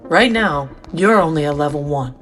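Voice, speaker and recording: An authoritative female scientist played by an actress briefs in a formal, measured manner for an audio drama. Her voice is on the low side, with faint music underneath. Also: expressive, fairly bright tone. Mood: stern, explanatory. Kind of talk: scripted audio drama dialogue.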